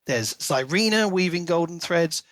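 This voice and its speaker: Higher-voiced man in casual book discussion. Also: lively intonation, unhurried, fairly bright tone, no echo, studio quality. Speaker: podcast co-host.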